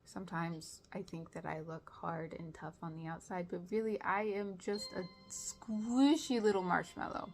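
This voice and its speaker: A woman giving casual reaction commentary as a show host, expressive and playfully dramatic, with a self-deprecating way of talking. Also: on the low side, normal speed, hardly any pauses, soft background music.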